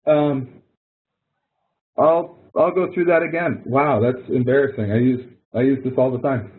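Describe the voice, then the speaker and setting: A man's voice: mid-range voice, fairly even intonation, a little slow, slightly rough. A man leading an informal webinar as an instructor, explaining step by step in a conversational way and correcting himself as he goes. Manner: conversational, self-correcting, talks through examples step by step. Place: online webinar call. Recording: tinny, narrow-band online call audio.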